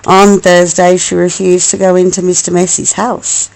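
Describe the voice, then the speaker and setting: A woman reading a storybook aloud as a mother to her young child, expressive and affectionate, with coaxing asides. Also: fairly low pitch, normal speed, creaky, rough recording.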